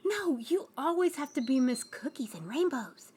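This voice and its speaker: A woman's voice: high voice, lively intonation, darkish tone, pitched above the performer's own voice. A woman acting a fiery, aggressive young sister in a fantasy audio roleplay, pushy, sarcastic and resentful. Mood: scornful. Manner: pushy, sarcastic, quick to complain.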